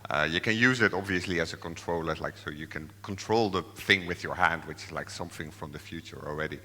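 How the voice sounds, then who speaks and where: A very deep-voiced man presents at a conference, stopping often for fillers and restarts. His tone is bright and forceful, and long hall reverb hangs behind him. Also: fast talker. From Netherlands. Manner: hesitant, many fillers and restarts. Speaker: software developer presenting.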